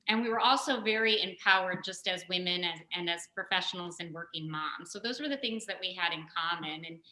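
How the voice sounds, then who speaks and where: A woman guest and business co-founder giving measured, articulate interview answers. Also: mid-range voice, bright, forceful tone, echoey room, low-quality recording.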